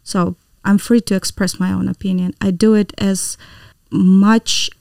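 A woman's voice: medium pitch, flat delivery, unhurried, gravelly, darkish tone, no echo, studio quality. A woman from Ukraine with a Ukrainian accent, a native Ukrainian speaker, speaking earnestly as an interview guest. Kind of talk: interview conversation.

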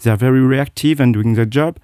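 A man's voice: mid-range voice, brisk, warm tone, smooth, dry recording, studio quality. A middle-aged man, a guest telling his personal story with many hesitations and restarts. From South Korea. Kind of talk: interview, personal account.

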